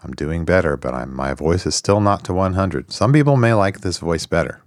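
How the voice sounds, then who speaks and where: A man co-hosting a podcast in casual chat, his voice hoarse and raspy from a recent illness, tossing in dry joking asides. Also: low-pitched, expressive, normal speed, no echo. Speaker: podcast co-host.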